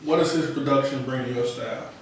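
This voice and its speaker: A man's voice: medium pitch, steady tone, fast talker. Man interviewing in a very echoey room. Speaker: podcast interviewer. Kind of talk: casual podcast interview. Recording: echoey room, distant mic, faint noise.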